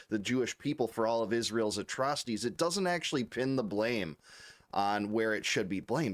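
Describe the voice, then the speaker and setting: Measured, articulate man debating. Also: mid-range voice. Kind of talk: debate argument.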